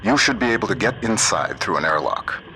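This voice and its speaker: A steady older man, played by an actor, acting as a trusted guide in a video game. His voice is deep, earnest and plain-spoken, heard over a noisy radio link. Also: a little fast, close to an everyday voice. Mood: guarded, encouraging. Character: steady, folksy older male scientist ally guiding the hero.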